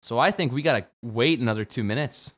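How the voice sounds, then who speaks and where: A man in his twenties, a game streamer, chatting jokily on a late-night livestream and jumping from low mumbles to sudden shouts. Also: a little fast. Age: 20s. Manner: playful, jokey, swings from mumbling to sudden shouts.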